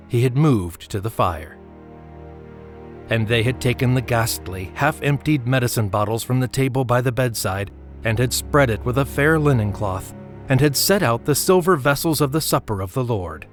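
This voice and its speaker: A man reads as the narrator of a literary audio drama. His medium-pitched voice is measured and storylike, with music playing beneath it.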